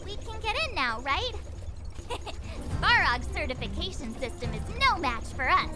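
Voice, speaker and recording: Excitable young game girl: extremely high voice, animated swings, cheeky temper, loud music behind. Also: moderate pace. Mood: delighted. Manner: playful, exclamatory. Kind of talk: video game voice acting.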